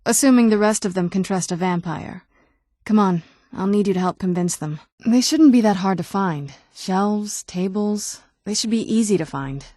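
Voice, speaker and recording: Woman voicing a young sounding ancient vampire lady from a video game, soft mellow tone, wry and guarded, really dry clean sound. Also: mid-range voice, normal speed, no echo, close to an everyday voice. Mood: determined. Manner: wry, conversational, understated. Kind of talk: video game character dialogue.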